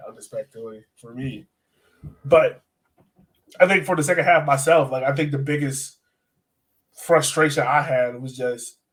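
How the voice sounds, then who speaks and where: A man's voice: a little high, a bit bright. A man co-hosting a soccer fan podcast, talking casually and acting out his reactions as he breaks down matches. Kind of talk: casual sports chat, match analysis.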